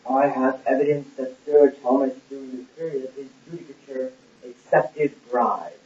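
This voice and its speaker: A man playing a smooth, cold, scheming royal secretary in an amateur puppet-show play, speaking in a measured, formal way. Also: medium pitch, darkish tone, close to an everyday voice. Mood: coolly insinuating. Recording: roomy, muffled, distant lo-fi recording.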